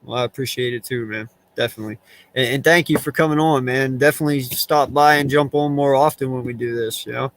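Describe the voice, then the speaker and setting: A man's voice: medium pitch, steady tone, fast, a bit bright, no room sound. A man hosting a casual late-night fan stream, laid-back and earnest, swearing casually. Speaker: stream host. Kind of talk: casual chat.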